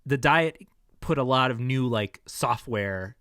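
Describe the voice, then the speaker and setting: A man's voice: medium pitch, slow pace, a bit bright, smooth, no room sound. A man with a tired, scratchy, fatigued voice, talking casually into a close microphone in a rambling, hesitant way. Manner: rambling, hesitant, many restarts and fillers. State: vocal fatigue, scratchy throat. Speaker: vlogger sharing health experience. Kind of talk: casual personal vlog.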